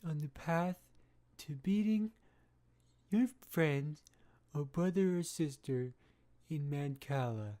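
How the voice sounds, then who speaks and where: A woman voicing a male huckster pitchman in a mock radio advert, delivering a slow, cheesy sales pitch. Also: warm tone. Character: male huckster ad pitchman for a board game school.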